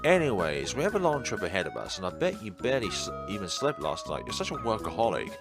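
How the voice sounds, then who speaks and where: A man's voice: lowish voice, big pitch swings, fast talker. A man voicing a teasing, reassuring young friend and business partner in visual novel dialogue. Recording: music playing behind the voice.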